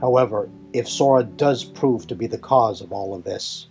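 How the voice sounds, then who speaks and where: A man's voice: mid-range voice, normal speed, a little mellow, faint music behind, close to an everyday voice. A man voicing a proud, suspicious warrior in a fan audio drama, with a stern, challenging, formal way of talking. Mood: grim, grudging.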